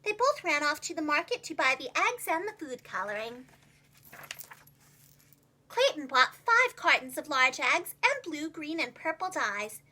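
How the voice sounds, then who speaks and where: A woman with a high, bright voice reads a storybook to young children. Her delivery is expressive and very animated, with each phrase carefully enunciated like a preschool teacher's.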